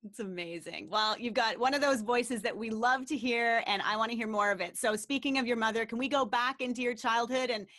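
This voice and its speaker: A woman's voice: on the high side, brisk, hardly any pauses, bright, forceful tone, no room sound. A woman hosting an interview, encouraging her guest with affirming remarks. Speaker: interview host.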